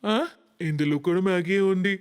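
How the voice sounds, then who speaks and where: A man's voice: high voice, moderate pace, smooth, big-room sound, lightly acted. A man voicing a hungry older uncle in a story, with a familiar, exclaiming manner. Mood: surprised. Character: hungry older male relatives of the groom.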